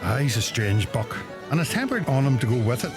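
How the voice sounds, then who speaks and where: A man's voice: mid-range voice, big pitch swings, fast, over music. A man playing a gossipy, self-important village publican with a Donegal Irish accent in a radio play. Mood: grumbling.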